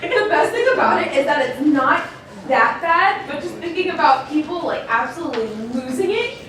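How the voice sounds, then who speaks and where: A woman's voice: high-pitched, big pitch swings, normal speed, fairly bright tone. A woman chatting casually and off the cuff as a convention panelist. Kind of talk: casual banter. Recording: echoey, distant, slightly noisy hall.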